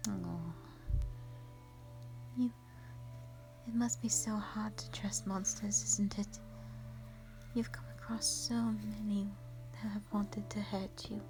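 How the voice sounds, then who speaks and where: A woman voicing an ancient, gentle female dragon who speaks softly and slowly, often in a whisper. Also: mid-range voice, fairly even intonation. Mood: reassuring. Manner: soft, slow and soothing, with many whispered lines. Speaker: voice actor in an audio roleplay. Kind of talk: audio roleplay acting. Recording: music playing under the voice.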